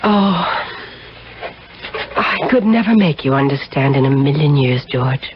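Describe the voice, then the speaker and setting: Worldly seductress, arch and dry, teasing, 1970s radio drama, dull noisy recording. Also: female voice, sits low, animated, a little slow. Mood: regretful. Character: worldly, sardonic married American woman, seductive and guarded.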